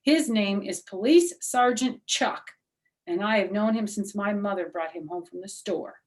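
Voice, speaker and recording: A woman's voice: medium pitch, unhurried, close to an everyday voice. Woman putting on a smug, snooty little girl. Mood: proud. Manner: smug, contrary. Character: boastful, tattling little girl, snooty rival classmate.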